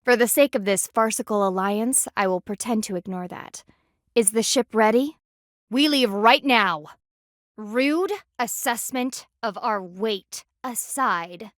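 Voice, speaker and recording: A woman's voice: a little high, a little slow, no echo, studio quality, lightly acted. A woman voicing a stern, proud female panda warrior in a video game, with formal, clipped and dryly sardonic diction. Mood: stern, impatient. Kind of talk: video game character voice lines.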